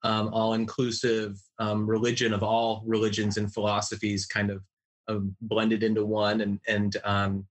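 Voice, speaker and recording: A man in his forties from Ohio, thoughtfully telling his life story as a podcast guest, with measured pauses and fillers. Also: on the low side, monotone. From Ohio, USA. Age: 40s. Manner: reflective, measured, many fillers. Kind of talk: personal storytelling.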